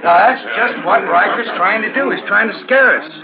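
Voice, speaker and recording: A man's voice: sits high, normal speed, gravelly, muffled recording, crowd noise. Crackly-radio earnest homesteader man. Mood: earnest, firm. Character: earnest, resolute homesteader rallying his farmer neighbors. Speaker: radio drama actor. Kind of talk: 1950s radio western acting. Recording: crackly, band-limited old radio broadcast.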